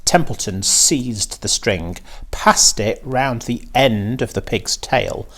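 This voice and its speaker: A man narrating a children's story aloud in an expressive, deliberate and measured manner. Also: mid-range voice. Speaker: teacher reading aloud.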